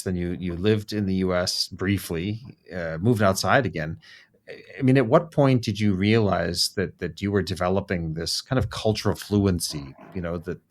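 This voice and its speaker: A man hosting an interview show, asking friendly questions and often repeating words as he frames them. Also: sits low. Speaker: podcast host.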